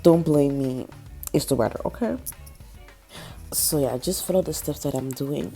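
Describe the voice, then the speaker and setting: A woman with a very low voice, made deeper still and gravelly by a cold. She talks through a hair-care how-to in a loose, chatty way, full of ums and likes, and the tone is dark and mellow. Faint music plays underneath. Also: normal speed. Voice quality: naturally low voice. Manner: chatty, many ums and likes, small laughs. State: sick, voice even lower than usual. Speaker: natural hair vlogger. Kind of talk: casual how-to vlog.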